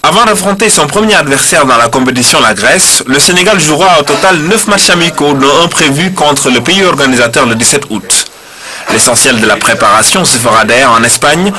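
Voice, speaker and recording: A man reading a scripted sports report as voice-over, crisp and even. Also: fairly high pitch, a little fast, background noise.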